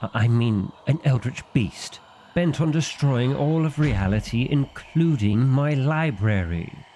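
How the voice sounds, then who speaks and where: A man's voice: medium pitch, expressive, unhurried, mellow, slight background noise, natural voice. A man playing a fussy, exasperated vampire librarian in an ASMR roleplay, with an indignant, officious and dryly comic delivery. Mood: panicked, indignant.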